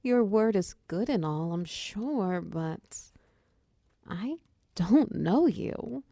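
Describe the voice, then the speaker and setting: A woman voicing a friendly, chatty female minotaur wizard who mentors an apprentice in a roleplay ASMR style, encouraging and gently instructive. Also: medium pitch, animated, very slow, gravelly, no echo, clean studio sound, heavily acted. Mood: pleased, businesslike.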